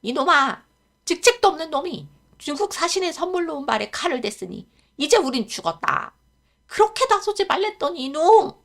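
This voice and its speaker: A woman's voice: high-pitched, very animated, moderate pace, a bit bright, pitched above the performer's own voice, heavily acted. A woman voicing an old male stable groom who scolds and frets in a storybook reading. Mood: scolding. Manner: scolding, anxious.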